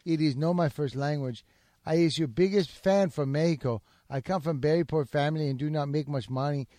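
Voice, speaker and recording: A man's voice: on the high side, a little fast, dark tone, no room sound, studio quality, close to an everyday voice. A man reading as a broken-English superfan. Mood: earnest. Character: adult male superfan writing in broken English.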